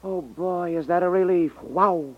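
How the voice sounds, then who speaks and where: A man's voice: high-pitched, measured pace. A man acting in a 1950s radio drama as a brash, pushy, slangy salesman feigning honesty. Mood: eager. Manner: slangy, insistent. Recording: muffled 1950s radio recording.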